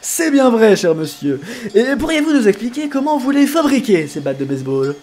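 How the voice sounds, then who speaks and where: Man playing a lively, flowery, polite reporter. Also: very high voice, moderate pace. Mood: polite, puzzled. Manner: flowery, courteous, stammering when flustered. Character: polite, pompous male reporter, easily flustered. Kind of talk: parody travel reporting over music.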